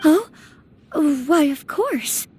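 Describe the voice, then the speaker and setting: A woman's voice: sits high, animated, very slow, darkish tone, heavily acted. A woman voicing a refined, gracious young noble lady in a video game, with a polite, genteel manner. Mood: surprised, impressed. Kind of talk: scripted game dialogue acting.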